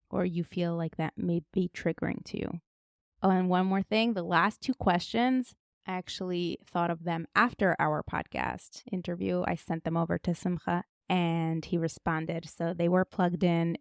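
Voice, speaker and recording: Podcast host lady, smooth clear voice, dry close sound, chatty. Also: medium pitch, a little mellow, no room sound. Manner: chatty, informal asides. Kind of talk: podcast interview, intro and questions.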